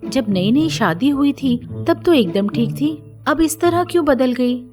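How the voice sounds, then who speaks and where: Woman acting a confident young daughter, high voice. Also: animated, unhurried, music in the background. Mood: concerned. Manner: questioning, assertive. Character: young student daughter, confident, concerned, determined sister-in-law.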